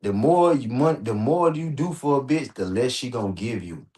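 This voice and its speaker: An American man talking casually on a livestream, animated and slangy, swearing often and repeating himself for emphasis. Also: mid-range voice, moderate pace. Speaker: host reacting on a livestream. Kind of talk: casual rambling livestream talk.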